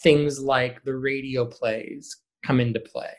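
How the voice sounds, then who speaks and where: Man, theater director, average pitch, conversational, interview guest. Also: fairly even intonation, takes it slowly. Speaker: high school theater director, podcast guest.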